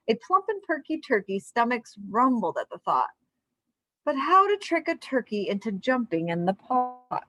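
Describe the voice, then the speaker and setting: A woman storyteller reads to children in a fairly high voice. Her delivery is expressive and animated, rising and falling a lot, and the recording is dry and close. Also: normal speed, no room sound. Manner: expressive, addresses young listeners with asides. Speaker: storyteller reading aloud to children. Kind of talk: animated children's read-aloud.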